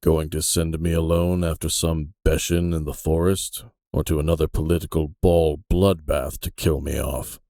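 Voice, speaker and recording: An actor playing an aging, sardonic and resigned detective in a fantasy audio drama, with a gravelly voice and a dry, weary, halting delivery. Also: male voice, extremely low pitch, level tone, warm tone, no room sound. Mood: bitter resignation. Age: older.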